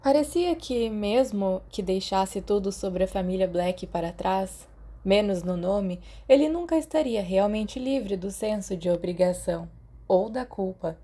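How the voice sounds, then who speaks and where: Woman, Brazilian accent, audiobook narrator, soft dark timbre, mid-range pitch, scripted storytelling. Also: lively intonation, a little slow. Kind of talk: scripted fan fiction narration.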